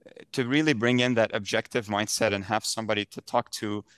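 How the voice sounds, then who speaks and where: A man's voice: on the low side, fairly even intonation, fairly quick, a bit bright, smooth, dry recording, studio quality. A man from the Middle East giving conversational, explanatory interview answers as a podcast guest. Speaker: podcast guest, software engineering director.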